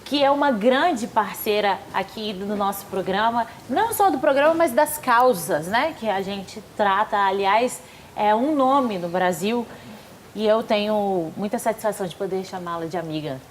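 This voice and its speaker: A woman hosting a studio talk show, introducing guests with gushing, effusive praise and quick chatty asides. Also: medium pitch, animated, a little hiss or hum.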